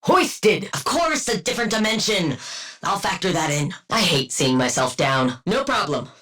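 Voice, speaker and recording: A woman's voice: lowish voice, sing-song, normal speed, a bit bright. A woman playing a haughty, sardonic scientist supervillain in a video game, with clinical, precise diction and dry, condescending wit. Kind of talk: scripted game character voice lines.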